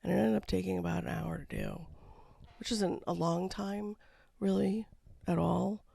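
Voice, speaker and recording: An artist talking casually over a painting time-lapse, with a froggy voice from a cold and a low-key, rambling manner. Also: sing-song, normal speed, vocal fry, dark tone, slightly rough, dry recording. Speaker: watercolor artist. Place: home studio.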